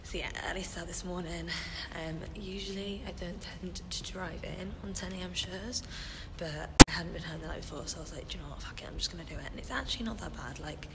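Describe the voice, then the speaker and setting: A woman's voice: fairly quick, few pauses. A woman in her twenties chatting casually and informally to a camera held at arm's length as she goes about her day. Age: 20s. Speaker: vlogger and pantomime performer. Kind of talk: casual vlog chat to camera. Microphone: phone or camera at arm's length. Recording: handheld vlog, background ambience and traffic rumble.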